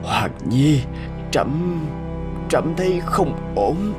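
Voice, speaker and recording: A man voicing an old, ailing cartoon king, a tender father speaking in a slow, labored, halting way. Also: fairly high pitch, big pitch swings, heavily acted. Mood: dying, grave. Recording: loud background music.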